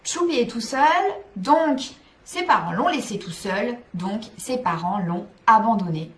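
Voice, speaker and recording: A woman's voice: medium pitch, expressive, unhurried. A woman psychologist giving scripted, structured advice to parents in a didactic way, addressing listeners directly.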